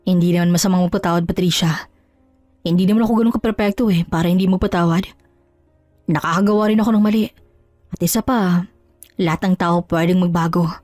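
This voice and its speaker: A woman puts on an earnest man in a Tagalog audio drama, a forgiving friend with a lowered voice. He speaks persuasively and gently, with music behind him. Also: steady tone, quick pace, long pauses, no echo, close to an everyday voice.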